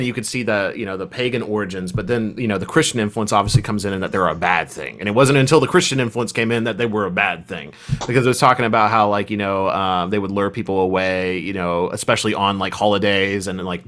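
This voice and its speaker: A man's voice: lowish voice, quick pace, a bit bright. A man chatting casually as a podcast host, explaining things in an informal, conversational way. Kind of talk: casual chat.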